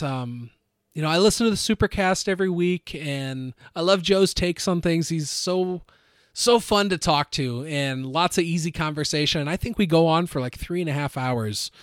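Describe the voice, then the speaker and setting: Loud casual podcast host, dry mic. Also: male voice, fairly high pitch, no room sound. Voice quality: naturally loud voice. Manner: loose, swears casually, laughs often.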